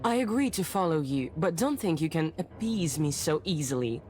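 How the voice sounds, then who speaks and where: A woman's voice: sits low, lively intonation, moderate pace, a little mellow, a little hiss or hum. A woman playing a bold, imperious young journalist in a period visual novel, haughty and assertive. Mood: haughty, defiant. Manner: haughty, assertive, crisp commands.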